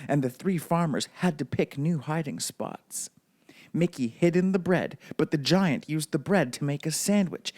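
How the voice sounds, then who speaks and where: A man's voice: on the high side, a little mellow. A man narrating a children's bedtime story as a read-aloud storybook reader.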